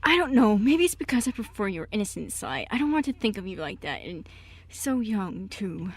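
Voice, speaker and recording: A woman's voice: mid-range voice, big pitch swings, normal speed, breathy edge. A woman playing a prim, protective and easily flustered older uncle in an amateur radio play, with a fussy, exasperated delivery. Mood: defensive.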